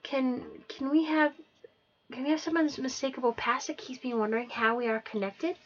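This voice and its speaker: A woman's voice: sits high, moderate pace, highs cut off, pitched above the performer's own voice, natural voice. A woman voicing a bewildered young heroine who asks eager, breathless questions.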